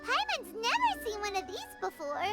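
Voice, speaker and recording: A woman voicing a tiny, excitable, childlike fairy companion in a video game, chatty, naive and full of questions. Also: very high-pitched, normal speed, over music, exaggerated voice. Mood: astonished. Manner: exclamatory, inquisitive, speaks of self in third person. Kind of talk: English video game voice acting.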